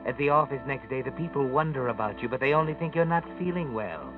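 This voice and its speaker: A man's voice: medium pitch, animated, fast talker, close to an everyday voice. An actor playing a sinister, taunting radio drama narrator, speaking knowingly and ominously to a guilty man. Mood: ominous, mocking. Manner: ominous, knowing, second-person storytelling. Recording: muffled old radio, music behind.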